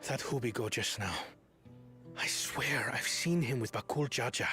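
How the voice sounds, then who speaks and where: An actor playing an earnest, hot-headed, protective royal brother in a video game, speaking emphatically. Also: male voice, medium pitch, normal speed, pushed and bright, faint music behind, close to an everyday voice. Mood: suspicious, indignant. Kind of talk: video game cutscene acting.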